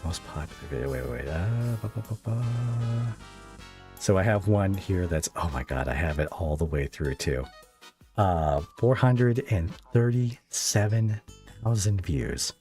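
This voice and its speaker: Guy with really low voice doing podcast chat, rambly and halting, guitar music behind him. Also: animated, darkish tone. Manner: rambling, halting, repeats words while thinking. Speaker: podcast host interviewing a guest.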